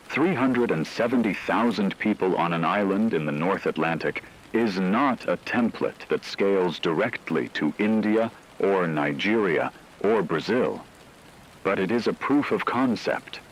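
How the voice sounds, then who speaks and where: A man playing a grave radio news broadcaster, reading a scripted bulletin in a solemn, measured and formal way. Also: sits low, a little hiss or hum, heavily acted, rough recording. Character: grave male radio broadcaster reporting societal collapse.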